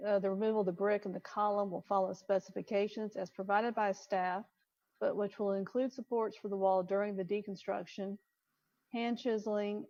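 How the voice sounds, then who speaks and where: A woman's voice: medium pitch, a little mellow. A woman serving as a commission member, speaking and reading aloud in a formal meeting. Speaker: commission member making a motion. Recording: dull lo-fi online meeting audio.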